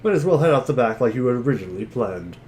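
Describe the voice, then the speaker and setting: A man's voice: medium pitch, lively intonation, quick pace, darkish tone, slight background noise. A man doing a sardonic, profane dramatic reading mixed with casual chat among friends. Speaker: narrator and reader of a webcomic dubbed as a dramatic reading.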